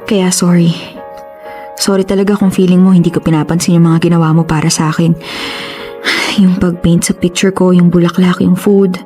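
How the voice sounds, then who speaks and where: A woman's voice: fairly low pitch, little pitch movement, moderate pace, dark tone, faint music behind, close to an everyday voice. An actress playing an earnest, conflicted young woman in love in a radio drama, with a Filipino accent and a hesitant manner. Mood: apologetic, earnest. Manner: hesitant, explanatory.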